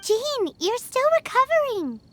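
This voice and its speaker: A tiny, caring fairy sidekick from a video game. The voice is extremely high and childlike, swinging up and down with earnest feeling, over clear background music. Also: female voice, very animated, unhurried, pushed and bright, heavily acted. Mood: concerned. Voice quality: squeaky, childlike. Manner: refers to self in third person, concerned. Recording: music playing behind the voice.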